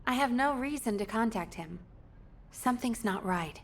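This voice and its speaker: An actress playing a composed, businesslike military operator in a video game, formal and precise. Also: female voice, fairly high pitch, expressive, normal speed. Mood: serious, concerned.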